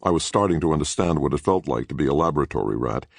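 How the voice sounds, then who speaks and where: A man narrating an audiobook in first person, with a measured, dry storytelling manner on a close studio microphone. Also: extremely low pitch, animated, creaky, no room sound.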